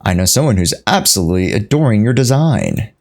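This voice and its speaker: A woman's voice: a bass voice, big pitch swings, a little fast, a little mellow. A woman streaming a video game, giving casual, playful and offhand commentary as she reacts to the dialogue. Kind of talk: casual live playthrough commentary.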